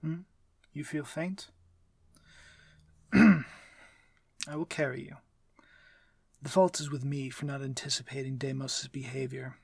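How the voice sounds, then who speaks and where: A man playing a courteous, protective, commanding vampire lord in an intimate ASMR audio drama, speaking softly in measured, formal phrases that often turn whispery. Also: medium pitch, level tone, gravelly, husky, dry recording. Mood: concerned, reassuring.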